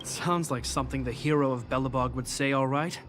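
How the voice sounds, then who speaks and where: An actor playing an earnest, dutiful young guard captain in a video game, composed and formal. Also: male voice, mid-range voice, fairly even intonation, brisk, close to an everyday voice. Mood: composed, relieved. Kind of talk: video game character dialogue. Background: game music.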